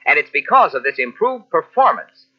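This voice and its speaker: A male radio announcer with a lively, slightly high voice gives a polished 1940s commercial pitch. He leans on rhetorical questions. The recording is muffled with age. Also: normal speed, husky. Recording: muffled old radio tape.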